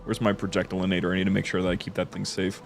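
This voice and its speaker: A man's voice: sits low, completely flat delivery, very fast, creaky, soft background music. A man hosting a gaming stream with informal, easygoing chat.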